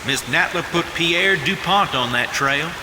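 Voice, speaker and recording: A man's voice: a little high, measured pace, natural voice. Man doing a cocky southern american gunslinger, crude n taunting, game cutscene, echoey w/ noise behind. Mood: scornful. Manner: drawling, folksy, insulting. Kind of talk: video game cutscene acting. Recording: echoey hall, clear background noise.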